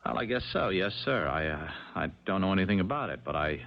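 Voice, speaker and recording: Uneasy, deep-voiced young ex-con. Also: male voice, very animated, fast talker, creaky, heavily acted. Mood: nervous, deferential. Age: young adult. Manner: hesitant, plain-spoken. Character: uneasy young ex-convict drifter posing as fiancé. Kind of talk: 1970s radio drama acting. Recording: dull 1970s radio recording.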